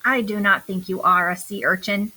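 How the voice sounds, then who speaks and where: A woman's voice: mid-range voice, steady tone, normal speed, pushed and bright, voice pushed down, rough recording. A woman voicing an earnest, literal-minded furry male pet animal in a children's storybook, speaking in a polite, careful, matter-of-fact manner. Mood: puzzled, reasoning.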